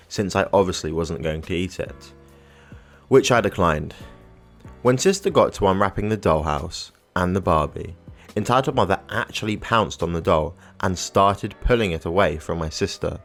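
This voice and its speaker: A man narrating online posts as scripted storytelling, with an even, matter-of-fact reading. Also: deep voice.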